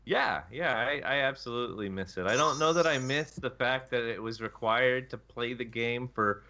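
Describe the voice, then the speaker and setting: A man's voice: mid-range voice, moderate pace, pushed and bright, a little hiss or hum. A man chatting casually as a gaming show panelist, recorded over dull lo-fi voice chat.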